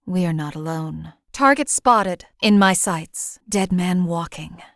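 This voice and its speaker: A woman voicing a cold, calculating female assassin companion in a game, with a calm, detached, clipped delivery. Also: on the low side, takes it slowly, no echo, clean studio sound. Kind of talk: game voice lines.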